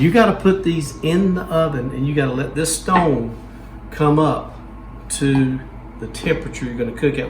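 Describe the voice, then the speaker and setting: A man's voice: medium pitch, background noise. A man, hosting a home cooking show and walking viewers through making pizza in a folksy, instructive way.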